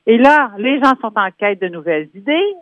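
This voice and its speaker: A woman's voice: fairly high pitch, big pitch swings, moderate pace, dry recording. A woman with a Québécois French accent, a workplace columnist explaining with concrete examples as she calls in to a radio show. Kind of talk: radio phone-in commentary. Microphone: telephone. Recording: tinny phone line, dry.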